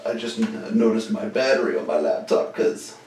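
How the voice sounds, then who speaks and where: Brief: easygoing young male co-host, lowish voice, picked up from across a roomy space. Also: lively intonation, normal speed, a little mellow, slight background noise. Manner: laid-back, agreeable, says "dude". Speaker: podcast co-host. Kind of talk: casual sports podcast chat. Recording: roomy, distant mic.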